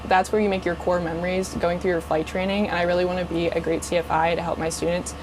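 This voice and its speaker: A young woman speaks in an interview as a trainee instructor. Her voice is flat in intonation and a little low, with clear background noise. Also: quick pace. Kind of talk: interview talk. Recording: clear background hum and ambience.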